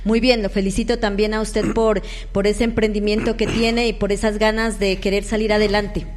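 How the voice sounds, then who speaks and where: A woman with a Colombian accent hosting a live local radio interview, halting at times as she searches for words. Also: medium pitch, little pitch movement, a little hiss or hum. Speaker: radio host interviewing musicians. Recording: echoey hall-like reverb.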